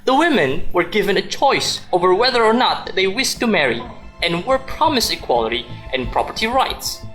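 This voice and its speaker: Radio drama: male actor as argumentative, pedantic employee on the defensive, very animated, echoey room, faint music. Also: sits high. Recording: roomy echo, music underneath.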